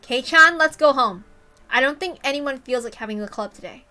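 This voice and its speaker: A woman voicing a brash, lively teenage anime girl with a casual, energetic manner. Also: high voice, moderate pace, soft background music, heavily acted. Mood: casual, loud.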